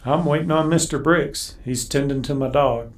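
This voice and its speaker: An actor playing a polite, hesitant war veteran cowboy in a Western radio drama, with an American accent. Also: male voice, medium pitch, moderate pace, close to an everyday voice.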